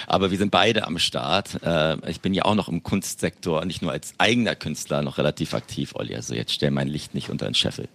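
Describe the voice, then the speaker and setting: A man's voice: extremely low pitch, fairly quick, gravelly, a bit bright. A man from Berlin co-hosting a casual podcast, cheerful and joking, often restarting his sentences. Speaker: podcast co-host.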